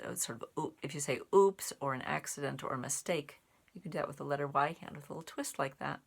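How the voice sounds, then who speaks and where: A woman's voice: extremely low pitch, gravelly, husky. A woman reading and explaining in simple language, as a storyteller and sign language teacher for young children. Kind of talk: picture-book read-aloud and casual sign language teaching.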